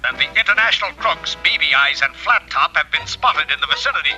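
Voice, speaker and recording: An actor voicing a confident male police detective hero in a 1960s TV cartoon, with a crisp, commanding delivery. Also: fairly high pitch, animated, fast, sharp tone, poor audio. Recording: faint music under dialogue.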